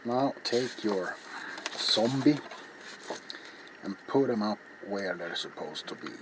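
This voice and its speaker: Man, middle-aged, lowish voice, very unhurried, offhand ASMR craft tutorial, rambling, noisy home workshop recording. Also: animated. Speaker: ASMR craft video host. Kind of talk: casual hands-on ASMR tutorial while working. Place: small cluttered indoor hobby workshop. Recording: noisy home recording, clear background noise.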